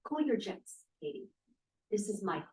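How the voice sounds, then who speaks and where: A woman's voice: medium pitch, very animated, normal speed, warm tone, natural voice. A woman playing a bossy, unflappable, sardonic college student in an informal table read. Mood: firm, sardonic. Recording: echoey room, distant mic.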